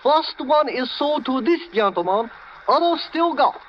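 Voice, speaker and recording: An actor playing an elderly, hesitant Chinese shopkeeper who speaks halting, broken English in a 1940s radio drama. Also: male voice, very high-pitched, lively intonation. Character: elderly Chinese curiosity shop keeper, hesitant and placid. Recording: muffled, faintly noisy old radio recording.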